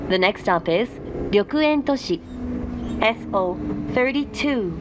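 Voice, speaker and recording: Female onboard announcer, fairly high voice, very slow and formal, recorded amid clear carriage noise. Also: animated. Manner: formal, measured. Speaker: English-language train announcer. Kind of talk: scripted onboard train announcement. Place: inside a moving train carriage. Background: train rumble.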